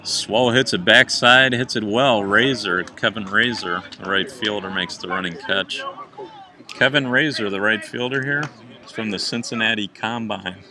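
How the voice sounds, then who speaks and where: A man calling plays as a softball announcer, with a hard, flat delivery. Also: on the low side, moderate pace. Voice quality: hard monotone voice. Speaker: play-by-play announcer. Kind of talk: amateur softball commentary. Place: outdoor softball field. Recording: loud crowd behind.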